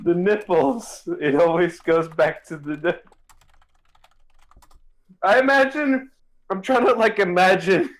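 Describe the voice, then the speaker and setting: A man co-hosting a stream, giving casual, incredulous commentary in a halting way. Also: high voice. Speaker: stream co-host. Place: indoors on a live stream.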